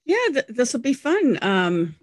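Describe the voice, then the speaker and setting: A woman's voice: on the high side, big pitch swings, no echo, studio quality. A middle-aged woman from Denver with a scratchy voice, chatting as a podcast guest and telling stories with easy laughs. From Denver, United States. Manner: chatty, storytelling, quick to laugh. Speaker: podcast guest, animal talent agent. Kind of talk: casual conversation.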